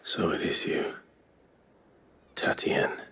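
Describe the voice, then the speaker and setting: An actor playing a grave, reserved wanderer in a video game, speaking tersely and solemnly, at times breathily. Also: male voice, takes it slowly, a bit bright, in a whisper. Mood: somber. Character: grave, reserved male fantasy wanderer on an errand. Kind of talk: video game cutscene acting.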